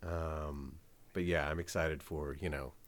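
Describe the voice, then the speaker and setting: A man's voice: very deep voice, steady tone, a little slow. A man hosting a podcast, chatting casually and jokily, swearing now and then. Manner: laid-back, jokey, swears casually. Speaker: podcast host. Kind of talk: casual chat.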